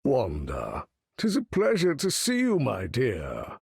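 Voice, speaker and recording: An actor playing a proud, imperious older mutant leader in a video game, speaking in a grand, commanding, formal manner with a husky, gravelly voice. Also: male voice, medium pitch, huge pitch swings, slow pace, dry recording, clean studio sound, heavily acted. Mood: fond. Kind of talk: theatrical video game character acting.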